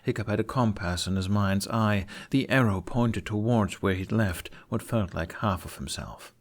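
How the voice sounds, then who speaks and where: Guy with a lowish voice narrating a fantasy fanfic audiobook, expressive storytelling. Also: moderate pace. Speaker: podfic narrator.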